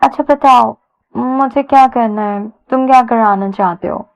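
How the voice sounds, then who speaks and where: A woman's voice: fairly high pitch, unhurried. A woman voicing an irritable, defiant young woman in a romance audio story, with a pouty, demanding manner. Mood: resigned. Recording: dull lo-fi sound.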